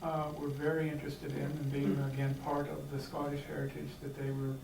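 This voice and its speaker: A man's voice: fairly high pitch, monotone, a little fast, gravelly. A man from the United States speaking from the floor at a meeting, hesitant, with many fillers and restarts. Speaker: clan member speaking from the floor. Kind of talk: unscripted remarks at a meeting. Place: meeting room. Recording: echoey hall, distant mic, faint hiss.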